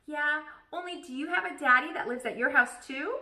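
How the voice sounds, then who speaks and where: A woman voicing an excitable, nosy, stubborn kindergarten girl from a children's storybook, blurting things out emphatically. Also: high voice, moderate pace, voice pushed up, exaggerated voice. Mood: eager, nosy. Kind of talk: children's storybook read-aloud. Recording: echoey room.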